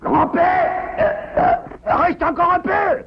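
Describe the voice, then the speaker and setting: A man voicing a sly, fawning wolf in a French cartoon, with a gravelly, creaky voice. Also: very high voice, fairly even intonation, slow, raised voice, crowd noise, heavily acted. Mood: pleading. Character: scheming, fawning wolf, grandson of the old wolf. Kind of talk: French-dubbed cartoon acting. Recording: muffled, echoey, slightly noisy soundtrack.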